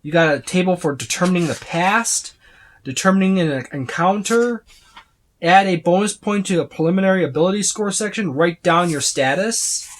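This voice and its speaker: The voice belongs to a tabletop game reviewer giving an unscripted overview. It sits at a middling pitch. The delivery is casual and rambling, circling back and listing items as it goes. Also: normal speed. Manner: rambling, repeats and lists items.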